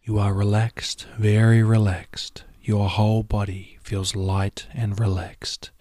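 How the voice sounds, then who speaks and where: Very deep, slow, whispery male hypnotist. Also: little pitch movement, dry recording. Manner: soft, slow, often half-whispered soothing delivery. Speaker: hypnotherapy guide. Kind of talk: guided hypnosis and relaxation script.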